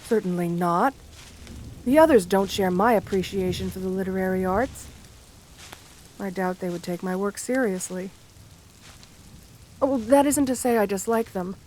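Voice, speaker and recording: A woman voicing a shy, lonely, romantic gargoyle girl in a gentle ASMR roleplay, speaking tenderly with formal, bookish wording. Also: medium pitch, normal speed, pauses often, close to an everyday voice, rough recording. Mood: shy, earnest. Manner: tender, soft-spoken, formal old-fashioned wording.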